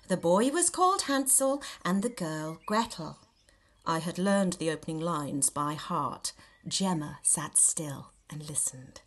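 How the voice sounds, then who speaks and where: A woman reading a novel extract aloud in an expressive, theatrical and measured storytelling style. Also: fairly low pitch. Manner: theatrical, measured storytelling with dramatic emphasis and hushed dips. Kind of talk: expressive scripted audiobook narration.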